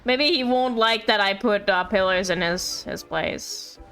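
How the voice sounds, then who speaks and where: A woman's voice: mid-range voice, fairly bright tone. A woman doing casual live game stream commentary, playful and rambling with mock-indignant asides. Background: game music.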